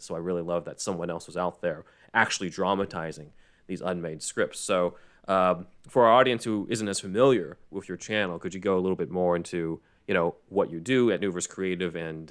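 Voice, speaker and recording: A man hosting a podcast, chatting casually in a rambling, conversational way. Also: low-pitched. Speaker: podcast host. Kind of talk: casual interview chat.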